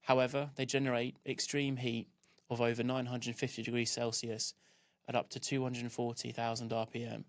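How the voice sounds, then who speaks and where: A man reading scripted narration for a training film in a measured, instructional way. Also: medium pitch, level tone.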